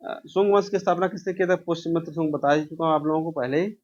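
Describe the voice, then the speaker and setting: A man's voice: a little high, fairly even intonation, quick pace, a little mellow. A man teaching an informal exam review, asking questions and answering them himself, repeating key answers. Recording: dull, lo-fi sound.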